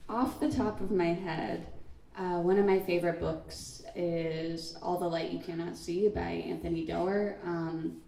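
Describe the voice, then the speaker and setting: A woman's voice: fairly low pitch, fairly even intonation, a little slow, vocal fry, mellow, a little hiss or hum. A young woman on a student writers' panel, giving a thoughtful answer with many "um" and "like" fillers. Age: teens. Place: school event hall. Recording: echoey room, far from mic.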